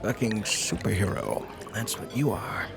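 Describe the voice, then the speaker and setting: A man's voice: lowish voice, sing-song, unhurried, vocal fry, music in the background, exaggerated voice. An actor playing a bitter, drunken, grieving father in an audio drama, sarcastic, profane and weary. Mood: bitter mocking.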